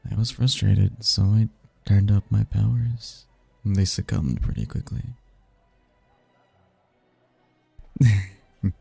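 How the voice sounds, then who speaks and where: Smug, flirtatious demon prince played by a male actor, very dark husky voice, close dry sound, for ASMR roleplay. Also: dry recording, full character voice. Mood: smug, sultry. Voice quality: low, gravelly, husky. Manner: teasing, smug, drawn-out flirtatious delivery. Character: smooth-talking seductive male demon prince, playful, teasing, bored. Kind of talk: audio roleplay, ASMR-style voice acting.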